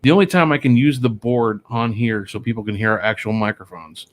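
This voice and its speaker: A man's voice: mid-range voice, a little fast. A man hosting a paranormal talk show from a small studio, rambling with lots of fillers and restarts. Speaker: podcast host. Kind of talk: casual chat. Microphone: studio microphone.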